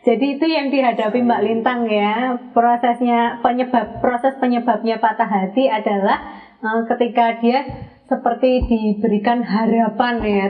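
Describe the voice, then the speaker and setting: A woman hosts a relaxed podcast chat, steering guests with friendly questions. Her voice sits a little high. She sounds set back from a dull, muffled microphone. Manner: friendly, leading questions, laughs often. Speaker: podcast host. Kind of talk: hosting a casual podcast chat. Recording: muffled, distant mic.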